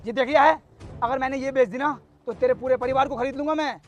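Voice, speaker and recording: A man's voice: very high-pitched, fairly even intonation, quick pace, dull audio. A man playing a brash, boastful villager in a comedy skit, combative and taunting. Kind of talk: loud Hindi village comedy skit acting.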